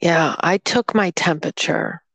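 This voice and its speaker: A woman chatting casually, slowly telling a rambling story about being ill, still sounding congested. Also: on the low side, fairly bright tone, no echo. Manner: rambling storyteller, slow and drawn-out. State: congested, recovering from illness. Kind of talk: casual chat.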